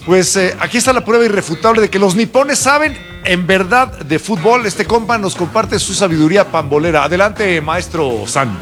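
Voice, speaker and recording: A man's voice: high-pitched, expressive, moderate pace, over music. A man with a Mexican accent hosting a casual sports talk show. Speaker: host.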